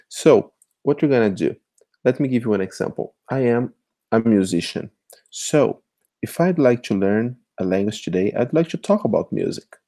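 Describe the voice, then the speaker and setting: A man whose first language is Portuguese, talking through an online tutorial in a relaxed, patient way and often checking in with the listener. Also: on the low side. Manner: conversational, keeps checking in with "okay?". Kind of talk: screen-share tutorial walkthrough.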